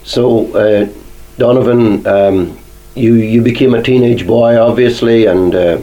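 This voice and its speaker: A man hosting a Sunday church program, asking questions slowly and measuredly. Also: medium pitch, steady tone, mellow, dull audio. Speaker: radio program host.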